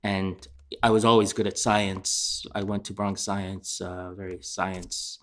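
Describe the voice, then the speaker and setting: A middle-aged chef from Korea, answering interview questions in a hesitant way with many fillers and restarts. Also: male voice, low-pitched, little pitch movement, takes it slowly, fairly bright tone.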